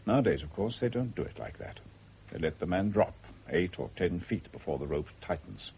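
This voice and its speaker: A low male voice as a calm, probing British doctor in a vintage radio play. He speaks formally and deliberately, with quiet authority. The recording is dull and noisy. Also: gravelly. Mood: grave, measured. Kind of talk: 1970s British radio drama acting.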